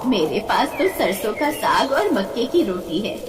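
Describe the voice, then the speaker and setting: A woman's voice: medium pitch, very animated, normal speed, recorded from a distance, close to an everyday voice. A woman voicing a poor, humble old village woman who speaks plainly and affectionately in a cartoon tale. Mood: humble, kindly. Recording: echoey reverberant voice, faint noise.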